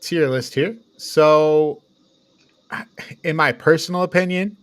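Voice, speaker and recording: A man's voice: a little high, slow, clean tone, dry recording. A man hosting a casual review chat, talking informally with his co-hosts. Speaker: host of a film ranking show.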